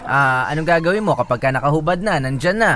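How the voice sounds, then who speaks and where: Halting Filipino man co-hosting on radio. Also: fairly high pitch, expressive, bright, forceful tone, slight background noise. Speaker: radio co-host. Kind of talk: casual radio banter.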